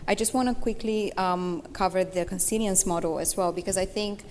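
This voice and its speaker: A woman moderating a conference panel, setting up questions in a brisk, steady way. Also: mid-range voice. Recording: hall reverb with faint noise.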